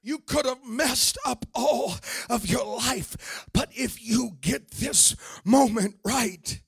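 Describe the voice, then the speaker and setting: A middle-aged American man preaching an impassioned church sermon in a forceful, emphatic manner, building his point through repeated phrases. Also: extremely high pitch, takes it slowly, gravelly, husky, dry recording, studio quality. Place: indoor church meeting room, at a pulpit. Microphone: handheld microphone held close.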